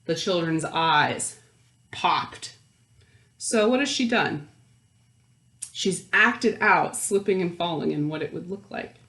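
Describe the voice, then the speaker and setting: A woman reading a picture book aloud to young children, expressively, pausing for chatty explanations and questions. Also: lowish voice, expressive, a bit bright, roomy sound. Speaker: children's storytime reader.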